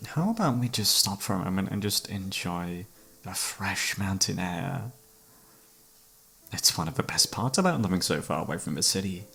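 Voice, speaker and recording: A man acts out an obsessive serial killer captor in an ASMR roleplay, in a lowish voice with plenty of fry. He is flirtatious and mock-polite, savouring his words with theatrical, widely swinging intonation. Faint music plays behind him. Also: animated, normal speed, bright, forceful tone, heavily acted. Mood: calm, coaxing. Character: obsessive, flirtatious male serial killer holding a detective captive. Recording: background music under the voice.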